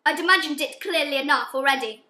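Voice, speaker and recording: A woman's voice: sits high, fast talker, sharp tone. A woman acting a sensitive, horrified young upper-class daughter in an amateur radio play, emotional and pleading. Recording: roomy, echoing amateur recording.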